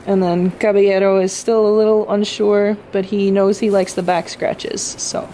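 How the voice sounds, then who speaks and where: A young woman vlogging casually from inside a large goat barn, filming herself at arm's length and explaining her animals in a relaxed, chatty way. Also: medium pitch, moderate pace, a little hiss or hum, rough recording. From Canada. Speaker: farm vlogger. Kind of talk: casual vlog talk to camera. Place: indoors in a large goat barn with straw pens. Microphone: handheld camera at arm's length.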